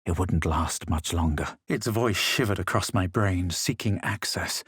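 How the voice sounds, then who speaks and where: Haughty low-voiced adventurer hero. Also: male voice, a bit bright, husky, dry recording, studio quality, exaggerated voice. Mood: brooding. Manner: superior, dramatic, measured. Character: proud, haughty male adventurer hero of a fantasy game. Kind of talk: fantasy video game voice lines, narration and incantations.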